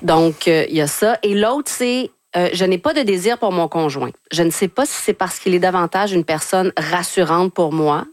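Woman host, low voice, dry close sound, brisk reader of listener emails. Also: no room sound. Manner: reads listener emails aloud, brisk and curious. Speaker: radio host. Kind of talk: hosting a call-in advice show.

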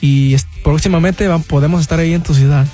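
Young male singer from Houston, high voice, hesitant speech full of fillers, faint music behind. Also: steady tone, fast talker, mellow. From Houston, Texas, with Mexican roots. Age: young adult. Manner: hesitant, many fillers like "este, de" and "¿cómo se dice?". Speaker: singer guest. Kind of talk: casual interview.

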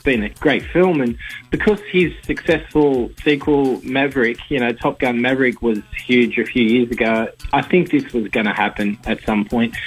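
A man's voice: medium pitch, slightly rough, low-quality recording. A man with an Australian accent and a sore throat, chatting casually as a radio film reviewer, rambling with fillers. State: sore throat, slightly hoarse.

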